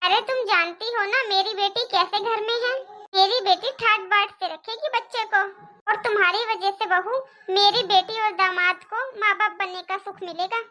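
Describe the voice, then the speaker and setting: An older Indian cartoon mother-in-law, acted by a woman, with an extremely high, childlike pitch and a bright, sharp edge. She laments and coaxes her family with pleading insistence. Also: fairly even intonation, normal speed. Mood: persuasive. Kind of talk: cartoon family drama acting.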